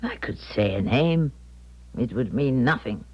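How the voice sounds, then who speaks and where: An actress playing an older, weary fortune teller in a 1970s radio drama, halting and theatrical as she doubts her own powers. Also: extremely low pitch, lively intonation, husky, heavily acted. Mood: reluctant, bewildered. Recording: dull 1970s radio broadcast recording.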